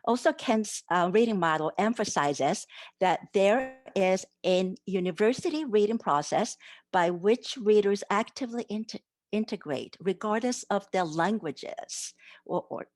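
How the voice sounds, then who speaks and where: A woman's voice: medium pitch, lively intonation, unhurried, a little mellow, no room sound. A woman whose first language is Japanese, giving an academic conference talk over slides on a video call, earnest, with fillers and restarts. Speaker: academic presenter.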